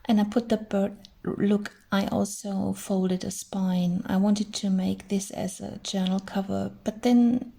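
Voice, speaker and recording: A middle-aged woman, chatting gently through a crafting tutorial and thinking aloud as she works. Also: mid-range voice, level tone, unhurried, creaky. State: hoarse. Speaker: crafting channel host. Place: indoors at a craft table.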